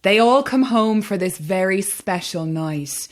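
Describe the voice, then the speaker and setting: Woman acting creepy polite hostess in horror audio drama, slow measured voice, cool menace, bossy. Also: mid-range voice, expressive, natural voice. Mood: insistent, menacing. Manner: polite, composed, insistent. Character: coolly menacing, controlling hostess of a sinister castle ritual.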